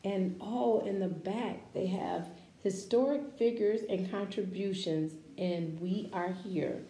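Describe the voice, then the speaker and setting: A woman reads a picture book to children in an encouraging, expressive way. Her voice is mellow and her delivery slow and deliberate, leaning on key words. The room sounds echoey around her. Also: medium pitch. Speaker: children's story-time reader. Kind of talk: picture-book read-aloud with asides to children. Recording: echoey room with faint background noise.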